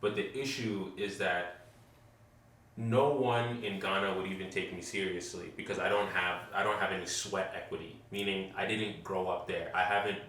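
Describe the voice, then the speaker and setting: An American-born man talking in a measured, reflective way in a casual podcast conversation. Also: low-pitched, fairly even intonation, a bit bright, far from the mic, slight background noise. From United States. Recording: echoey room, off mic.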